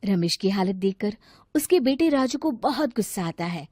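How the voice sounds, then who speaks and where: A woman's voice: mid-range voice, lively intonation, normal speed, a little mellow, slightly rough, no echo, clean studio sound. A woman narrating a scripted moral story for a cartoon.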